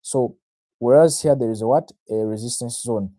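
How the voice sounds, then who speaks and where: A man's voice: mid-range voice, moderate pace, dark tone, no echo, studio quality. A Ugandan man, a native Luganda speaker, hosting an informal live market analysis, self-assured, talking straight to viewers and repeating phrases to drive them in. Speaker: trader, livestream host.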